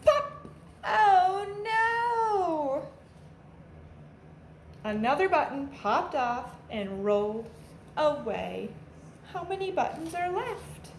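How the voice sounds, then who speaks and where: A woman reading aloud to young children like a teacher, in an animated way with simple phrasing addressed straight to them. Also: very high voice. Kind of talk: children's read-aloud, with sung refrains and asides to listeners. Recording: echoey room with faint background noise.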